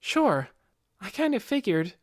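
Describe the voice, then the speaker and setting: A man's voice: high-pitched, big pitch swings, measured pace, no echo, clean studio sound, pitched above the performer's own voice. A man voicing an earnest, admiring teenage boy, polite and youthful, in a fantasy audiobook. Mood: earnest, grateful.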